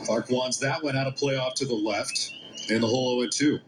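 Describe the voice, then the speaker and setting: A man's voice: mid-range voice, fairly even intonation, brisk, noisy background. A man calling a baseball game as a live play-by-play announcer. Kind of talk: live sports commentary.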